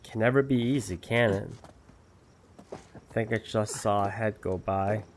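Guy chatting over his gameplay, self-mocking, low-ish voice. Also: unhurried, slight background noise. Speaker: gameplay commentator. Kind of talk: casual let's-play commentary.

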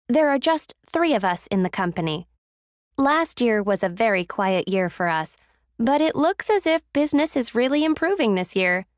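A woman's voice: high voice, no room sound, clean studio sound. A woman playing an upbeat small business owner in a scripted textbook passage.

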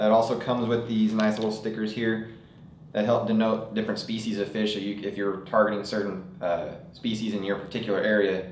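A young man with a fairly low voice demonstrates products in a relaxed, unscripted way, often pausing on filler sounds. The studio gives his voice some room echo. Faint ambience sits behind him. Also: slight background noise. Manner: casual, relaxed delivery with frequent "uh" fillers. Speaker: store product presenter. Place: indoor studio set with table of products.

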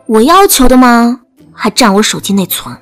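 A woman's voice: on the high side, sing-song, moderate pace, faint music behind. Woman voicing cool, exasperated young woman. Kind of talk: audiobook dialogue.

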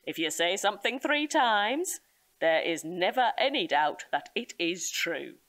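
Slow, solemn nature reserve guide. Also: female voice, medium pitch, sing-song, fairly bright tone. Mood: ceremonious, welcoming. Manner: measured, emphatic, formal. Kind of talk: audio comedy drama acting.

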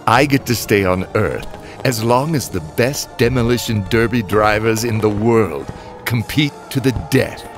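A man narrating as a weary, guilt-ridden driver father, in a slow, brooding storytelling manner. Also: on the low side, close to an everyday voice. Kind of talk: narrated motion comic. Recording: over loud music score.

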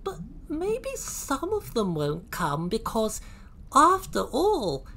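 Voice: mid-range voice, wildly swooping pitch, slow pace, vocal fry, pushed and bright, slight background noise, voice pushed up, exaggerated voice. A storyteller voicing a small, naughty male nautiloid, drawing out words in sly, falsely sympathetic wheedling for a children's story. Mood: wickedly insinuating.

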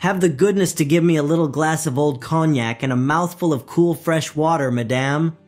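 Man putting on a sly flattering spy, soft confiding voice, raised pitch, faint music. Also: moderate pace, natural voice. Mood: ingratiating. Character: smooth, ingratiating male spy, sly flatterer.

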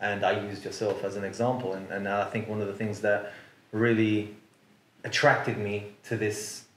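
A man in his thirties with a lowish voice hosts the conversation, earnest and quick to back his guest up. The sound carries the echo of a roomy office. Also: flat delivery, a little hiss or hum. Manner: earnest, affirming, repeats agreement. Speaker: interviewer host. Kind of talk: conversational interview. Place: office lounge, indoors.

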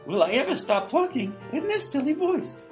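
A woman's voice: high-pitched, sing-song, normal speed, darkish tone, faint music behind. A woman playing a melodramatic, silly radio serial announcer who reels off portentous questions. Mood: silly, dramatic.

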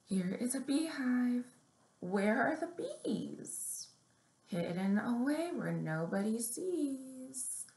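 Slow, creaky young woman reading to children. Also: medium pitch, darkish tone. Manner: measured, deliberate read-aloud delivery with simple instructions. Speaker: children's librarian, story time host. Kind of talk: picture-book reading and finger plays for young children. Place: small room indoors at home or office. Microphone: webcam or laptop mic at arm's length. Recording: roomy, echoing webcam sound.

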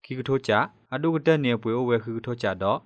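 A man's voice: mid-range voice, quick pace, no room sound, muffled recording. A man presenting scripted news as a radio newsreader.

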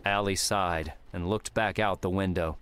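A man's voice: deep voice, fairly even intonation, measured pace, fairly bright tone, smooth. A man reading scripted fiction as an audiobook narrator.

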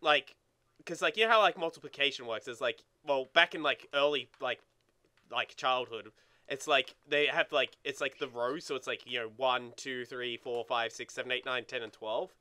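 Young man, very bright voice, smooth and clear, casual gamer chat, rambling, sweary. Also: medium pitch, normal speed. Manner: rambling, filler-heavy with frequent "like". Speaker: let's play co-host. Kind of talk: casual gaming banter, swearing.

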